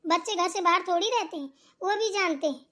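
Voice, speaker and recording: A woman voicing a quick-tempered young daughter-in-law in a family cartoon, arguing and complaining with sarcasm. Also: very high voice, fairly bright tone. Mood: defiant, angry. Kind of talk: amateur Hindi family cartoon dialogue.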